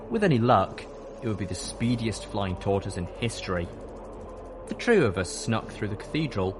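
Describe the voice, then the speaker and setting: A man narrates a long story in a steady first-person reading, his voice sitting on the low side. Music plays clearly under the narration throughout. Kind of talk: fan fiction audiobook reading.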